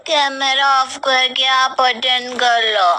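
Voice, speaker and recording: A woman's voice: sits high, flat delivery, slow pace, bright, forceful tone, a little hiss or hum, heavily acted. A woman voicing a cheeky, lazy young schoolboy who drawls his back-talk in a cartoon story.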